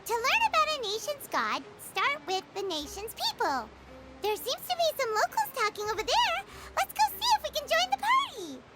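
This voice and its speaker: A woman voicing a small, childlike fairy companion in an anime video game, bubbly and curious, with a squeaky, wide-eyed delivery. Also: wildly swooping pitch, normal speed, very noisy, exaggerated voice. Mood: curious, eager. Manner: chirpy, wide-eyed, refers to self in third person. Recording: music playing behind.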